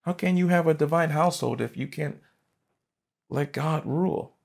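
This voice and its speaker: A man in his thirties, a pastor preaching a sermon from a church stage, fervent and emphatic, jumping from loud bursts to quiet asides. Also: on the high side, moderate pace, creaky. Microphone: headset mic.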